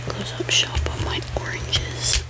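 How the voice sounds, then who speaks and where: A woman whispering soft, rambling chat as she colors for an ASMR video, sniffling now and then from allergies. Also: unhurried, over music. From United States. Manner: soft-spoken, rambling, chatty aside. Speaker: ASMR creator.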